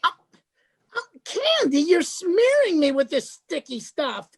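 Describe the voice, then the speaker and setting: A man playing a fussy, excitable, easily flustered sidekick in a radio play, with a theatrical, exclaiming manner. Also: extremely high pitch, slow, vocal fry, heavily acted. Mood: alarmed.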